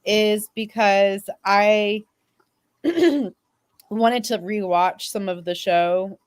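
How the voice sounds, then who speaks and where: A woman, young to middle-aged, speaks as a fan panelist. She tells casual personal stories at a slow, unhurried pace, with a dry, close sound. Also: mid-range voice, little pitch movement, clear, resonant tone, dry recording.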